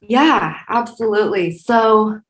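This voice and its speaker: A young woman from Taiwan chats as an interview guest. She tells her story in a lively, casual flow, dotted with fillers. Her pitch sits in the ordinary middle range for a woman. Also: slow pace, poor audio. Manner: lively storyteller, many likes and you knows.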